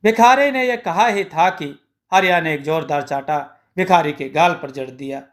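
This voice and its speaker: A man's voice: a little high, normal speed, fairly bright tone. A man narrating a scripted moral tale as a storyteller.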